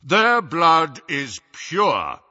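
A big male wizard official from an audiobook, voiced by a male reader. He is forceful and imposing, with a powerful, husky boom. He blusters emphatically, as if used to being obeyed. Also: big pitch swings, very slow, no room sound, lo-fi sound, exaggerated voice. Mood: imperious. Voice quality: deep, booming, powerful. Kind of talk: acted audiobook dialogue.

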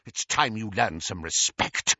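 A man's voice: lowish voice, a little slow, pushed and bright, breathy edge, no echo, muffled recording, heavily acted. A man voicing a stern, indignant older minister in an audiobook, with a growling, pompous, emphatic delivery. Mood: angry, threatening.

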